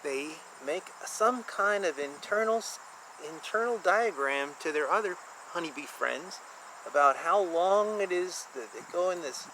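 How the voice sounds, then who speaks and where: A man reading a children's picture book aloud and explaining it informally in simple terms, with an animated manner. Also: sits high, unhurried, slight background noise, rough recording.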